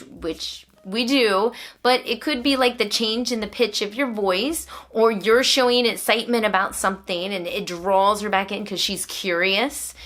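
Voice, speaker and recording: A woman's voice: mid-range voice, a bit bright. A woman hosting a homeschooling vlog, explaining things to other parents in an encouraging way. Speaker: homeschooling mother and vlog host.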